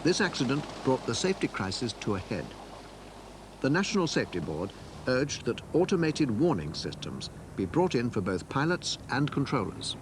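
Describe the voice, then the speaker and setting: A man's voice: medium pitch, sing-song, normal speed, background noise. A man reading scripted narration for a documentary.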